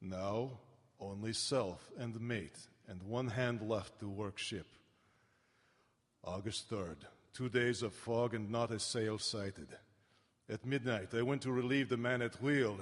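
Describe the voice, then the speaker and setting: An actor playing a weary, grave sea captain in a live radio play, speaking in solemn, clipped phrases with a husky voice. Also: male voice, lowish voice, slow pace. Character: weary, grave sea captain reading his ship's log. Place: town hall stage. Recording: echoey live hall.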